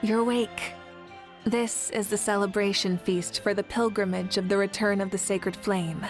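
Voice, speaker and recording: A woman's voice: medium pitch, steady tone, normal speed, faint music behind, close to an everyday voice. A woman voicing a confident, regal female ruler in a video game, speaking in a commanding way. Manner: commanding, laughs easily. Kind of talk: game voice acting.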